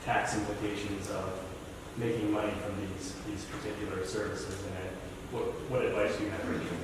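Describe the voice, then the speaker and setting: A man moderating a library panel, asking questions in a halting way with many uhs. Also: on the low side, flat delivery. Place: library event room. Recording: echoey hall, mic far off, noisy.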